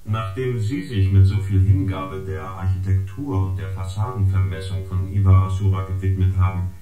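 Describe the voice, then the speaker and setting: An actor playing a smug surveillance agent in a radio drama, reporting a dossier with precise, formal, sardonic delivery. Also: male voice, deep voice, normal speed, close to an everyday voice. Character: smug, gossiping surveillance agent or unit, dryly needling. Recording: echoing space, loud guitar music.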